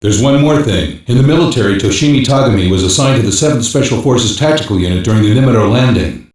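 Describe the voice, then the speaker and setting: A level-headed male intelligence analyst acted by a man briefing his team in an anime game. The voice is medium in pitch and measured, with heavy echo and some distance from the mic. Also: expressive, darkish tone, lightly acted. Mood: measured, serious. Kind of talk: dubbed anime game dialogue, investigative briefing.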